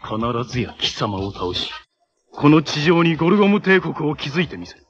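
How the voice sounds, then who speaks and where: An actor playing a menacing armored cyborg villain in a 1980s Japanese superhero TV show, making imperious, theatrical proclamations. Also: male voice, fairly high pitch, big pitch swings, normal speed, a bit bright, lo-fi sound. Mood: cold, threatening.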